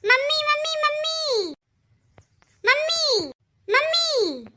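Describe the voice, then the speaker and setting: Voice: very high-pitched, big pitch swings, drawn-out words, very noisy, exaggerated voice. A performer voicing a whiny, cheeky little village girl telling tales in a comic cartoon, with a rural Uttar Pradesh accent. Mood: whiny tattling.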